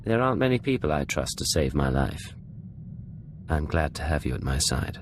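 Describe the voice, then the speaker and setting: An actor playing a cool, commanding Imperial secret agent in a video game, speaking in a measured, authoritative way. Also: male voice, rumbling low, very animated, a little hiss or hum, heavily acted. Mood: warm, wry.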